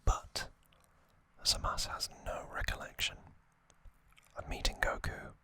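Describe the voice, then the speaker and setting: Slow, crisp-edged whispered ASMR narration. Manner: soft unvoiced whispering, unhurried with frequent pauses. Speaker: ASMR channel host. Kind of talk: whispered ASMR trivia recap.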